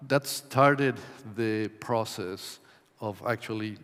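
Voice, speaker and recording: A man from Ecuador giving a conference talk in a measured way, with many ums and uhs. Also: fairly low pitch, fairly bright tone, clean tone. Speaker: conference presenter. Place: conference hall. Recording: long hall reverb.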